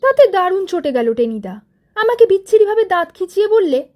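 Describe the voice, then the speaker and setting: A woman narrating a story in lively, expressive first person. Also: very high voice, fairly quick.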